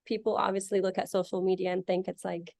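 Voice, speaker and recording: A woman's voice: mid-range voice, little pitch movement, fast talker, creaky, darkish tone, dry recording, clean studio sound. A young woman from Egypt answering interview questions in a casual, chatty way, with many fillers and restarts. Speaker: guest.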